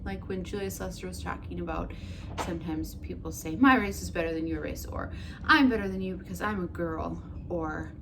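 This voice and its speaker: A woman teacher reads a picture book aloud to children in a gentle, expressive storytelling way, asking questions of her listeners, then talks it over with them. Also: lowish voice, moderate pace. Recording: noticeable background noise.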